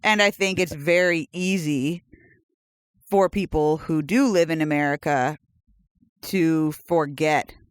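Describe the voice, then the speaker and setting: A podcast co-host speaking earnestly in casual chat, quick to agree and affirm. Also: medium pitch, smooth, dry recording, clean studio sound.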